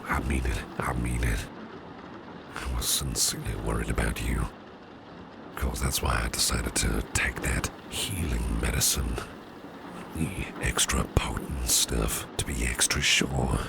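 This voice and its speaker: A man playing a doting, protective businessman boss with a sly edge in an ASMR roleplay, gravelly and breathy at times, with genteel, old-fashioned phrasing. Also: extremely low pitch, measured pace, noisy background, full character voice. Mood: protective, earnest. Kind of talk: ASMR roleplay, soft personal attention.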